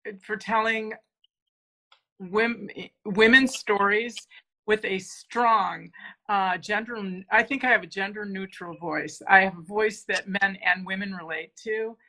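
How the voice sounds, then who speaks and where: Halting, bright-voiced woman author. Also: medium pitch, steady tone, rough recording. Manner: hesitant, many fillers and restarts. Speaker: author guest. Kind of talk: casual interview talk.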